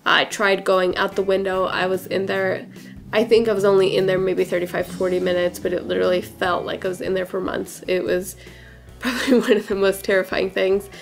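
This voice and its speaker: Creaky-voiced woman, chatting casually. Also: medium pitch, flat delivery, brisk, faint music behind. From born in Portugal, raised in California from about age four. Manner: chatty, informal, peppers talk with "like" and "literally". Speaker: hairdresser and beauty vlogger answering questions.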